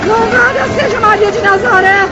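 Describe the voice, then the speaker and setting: A woman's voice: very high-pitched, moderate pace. A Brazilian woman playing a desperate, crippled lost soul pleading for rescue in a radio drama. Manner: wailing, pleading. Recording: very noisy, crowd clamour behind.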